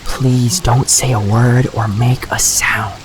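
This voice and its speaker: A man's voice: medium pitch, little pitch movement, slow, background noise, natural voice. Man acting a guarded, protective young man. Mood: hushed, urgent. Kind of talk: audio drama acting.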